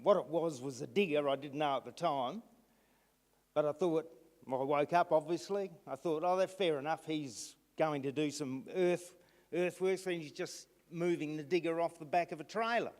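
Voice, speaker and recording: A man addressing a council meeting, recounting events haltingly with restarts. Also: on the high side, a little mellow. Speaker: resident speaking at council meeting. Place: council chamber. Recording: long reverb in a hall.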